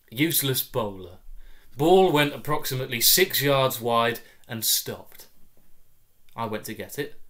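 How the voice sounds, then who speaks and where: A man in his twenties reads a comic story at an unhurried pace, with a medium-pitched voice. He is wry and deadpan, breaking sentences into short, clipped phrases. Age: 20s. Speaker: story reader. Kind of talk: dramatic first-person comic story reading. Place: small room at home, indoors.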